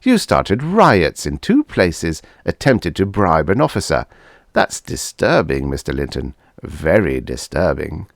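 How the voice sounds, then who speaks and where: A man's voice: a bass voice, sing-song, unhurried, vocal fry, dry recording, studio quality, full character voice. A man voicing a shifty older mad doctor in a science fiction audiobook, fussy, theatrical and patronizing. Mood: chiding, fussy.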